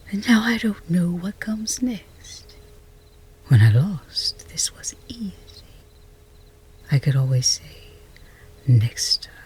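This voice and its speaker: Woman acting a breathy, whispering, lovestruck chess rival. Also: on the low side, a little mellow, exaggerated voice. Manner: intimate, halting, teasing. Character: flustered lovestruck chess rival, wry, earnest, confessing. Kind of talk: romance roleplay ASMR.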